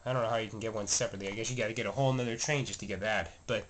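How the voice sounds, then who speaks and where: A man's voice: on the low side, fairly even intonation, rapid-fire. A man with a stuffy, slightly scratchy voice talks casually through a tour of his train room at home. He rambles and corrects himself, with plenty of fillers. A camera mic held close picks him up, with a faint clicking underneath. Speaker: toy train vlogger. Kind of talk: casual show-and-tell narration. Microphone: handheld camera mic, filming close.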